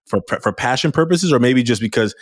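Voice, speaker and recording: A man hosting an interview podcast, talking in a loud, animated way with frequent restarts. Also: medium pitch, brisk, no room sound, clean studio sound. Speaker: podcast host. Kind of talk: casual interview chat.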